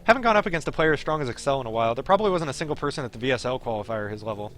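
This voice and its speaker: A man's voice: mid-range voice, lively intonation, very fast, a bit bright, soft background music. A man streaming a game, reading its narration aloud expressively and dropping into casual offhand commentary. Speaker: streamer playing a visual novel.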